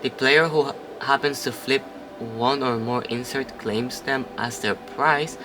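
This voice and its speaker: A man's voice: medium pitch, unhurried, music in the background. A man casually playing a story game, reading its narration aloud and chatting between lines, now and then swearing. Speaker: let's play host.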